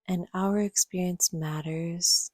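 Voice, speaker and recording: A woman guiding a yoga nidra meditation in a soft, breathy, half-whispered voice, gentle and soothing, with measured pauses. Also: fairly low pitch, fairly even intonation, no echo. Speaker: guided meditation teacher.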